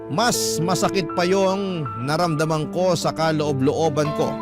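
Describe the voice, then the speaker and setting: A man with a Filipino accent reading a first-person story as a radio storyteller, in dramatic scripted narration. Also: fairly high pitch.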